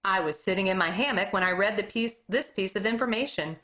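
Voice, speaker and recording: A woman teacher reading a children's book aloud in an expressive way, now and then stumbling over a word and correcting herself. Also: mid-range voice, a little fast.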